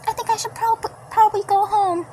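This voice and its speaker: A woman's voice: very high voice, steady tone, a little fast, dark tone, voice pushed up. A woman voicing a small, sweet, timid cartoon girl who stammers and pleads, in a fan-dub style. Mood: nervous, flustered. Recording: faint background noise.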